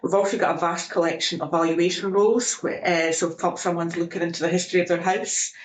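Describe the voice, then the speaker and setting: A woman's voice: lowish voice, fairly even intonation, a little fast, reverberant, slight background noise, low-quality recording. A woman from the west coast of Scotland with a Scottish accent, a librarian listing her archive's resources. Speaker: local studies librarian. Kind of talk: informative podcast talk.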